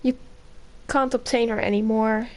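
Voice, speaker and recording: A woman's voice: on the high side, level tone, moderate pace, a little mellow. A young woman giving casual live gameplay commentary in short fragments as she streams. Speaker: game streamer.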